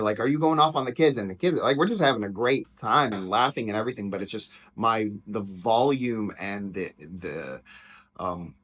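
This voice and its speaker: Male host, low-set voice that carries, candid and rambling in casual reaction talk. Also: slightly rough. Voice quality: loud, penetrating voice. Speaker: teacher and author reacting to his own book.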